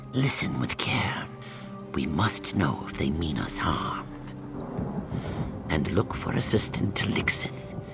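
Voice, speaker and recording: An actor playing a solemn male reptilian keeper of sacred eggs in a fantasy game, with breathy, deliberate delivery. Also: low voice, full character voice. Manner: slow, grave, deliberate. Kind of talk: fantasy video game dialogue. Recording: game music behind the voice.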